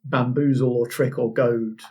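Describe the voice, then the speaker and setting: A man's voice: mid-range voice, steady tone, unhurried, dark tone. A man hosting a podcast, explaining things as a game master in casual conversation.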